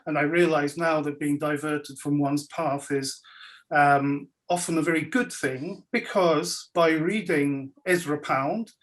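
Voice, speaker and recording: A man who grew up in Belgium with French as his first language, giving a wry, measured talk about his writing life over a video call from his home. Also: a little high, steady tone, a bit bright. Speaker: guest speaker, scholar and writer. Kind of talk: relaxed lecture-style talk. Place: home, indoors.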